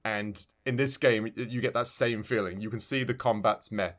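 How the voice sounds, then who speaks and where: A man's voice: fairly low pitch, steady tone, moderate pace, a bit bright. A man co-hosting a casual video game review podcast, talking in a rambling way and often restarting his phrases. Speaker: podcast co-host.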